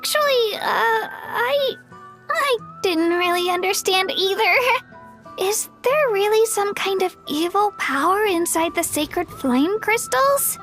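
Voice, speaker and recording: A woman's voice: very high-pitched, lively intonation, takes it slowly, a bit bright, full character voice. A woman voicing a sweet, earnest and slightly uncertain young child in a video game. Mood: hesitant, puzzled. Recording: music under the voice. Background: background music.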